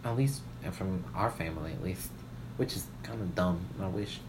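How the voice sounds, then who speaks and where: A woman's voice: rumbling low, normal speed, background noise. A woman chatting casually, with an opinionated, emphatic, freely swearing way of talking. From Puerto Rican family background. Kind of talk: casual banter.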